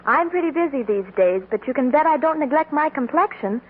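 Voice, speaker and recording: A woman's voice: sits high, expressive, fairly quick. A woman playing a perky, beauty-minded young daughter in a 1940s radio advertisement. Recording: tinny 1940s radio broadcast.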